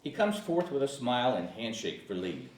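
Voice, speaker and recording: Male narrator for a table read, animated delivery, mid-pitched, off-mic with faint hiss. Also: normal speed, echoey room, far from the mic, poor audio. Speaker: narrator of screenplay directions.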